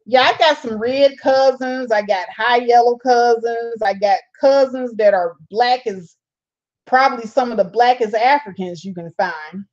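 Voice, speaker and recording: A woman's voice: fairly high pitch, unhurried, darkish tone, muffled recording. A woman hosting a casual live stream. She reads viewer comments aloud, answers them, and laughs often. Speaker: channel host reading live chat.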